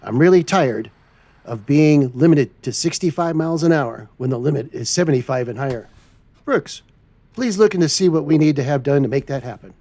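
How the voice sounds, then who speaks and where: A man hosting a trucking game video, speaking in a relaxed, plain way as he signs off. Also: a little high, brisk, no echo. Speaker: channel host. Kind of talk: role-play sign-off for a trucking game video.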